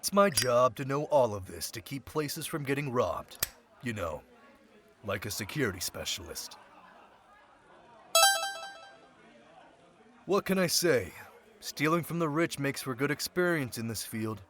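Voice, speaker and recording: A man's voice: mid-range voice, sing-song, normal speed, lightly acted. A man playing a sly, centuries-old thief turned security specialist, talking in a casual, teasing way in an audio roleplay drama. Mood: sly, playful. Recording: loud crowd chatter behind voice.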